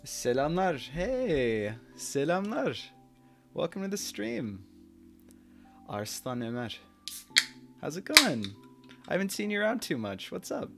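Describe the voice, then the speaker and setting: A man's voice: on the high side, big pitch swings, slow, vocal fry, long pauses, fairly bright tone, soft background music. A young man from the United States hosting a live stream, chatting casually with viewers, laughing often and dropping into Turkish now and then. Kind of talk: casual chat with chat readers.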